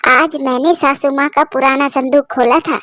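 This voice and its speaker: A woman voicing a bossy, quick-tempered young bird wife in a cartoon, with a nagging, insistent manner. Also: high-pitched, fairly even intonation, a bit bright, no room sound, clean studio sound. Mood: chatty.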